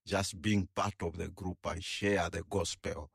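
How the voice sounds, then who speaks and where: An older man, a longtime choir member, answering calmly and briefly as a radio guest. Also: low-pitched, unhurried, gravelly, no room sound, studio quality. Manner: calm, brief, affirming. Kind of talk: studio interview guest. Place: radio studio.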